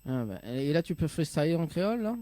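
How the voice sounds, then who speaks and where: A man with a fairly high voice hosts a live radio show from the studio. He keeps things playful and light, laughing often between questions. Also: big pitch swings, fast, warm tone. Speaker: radio and TV host.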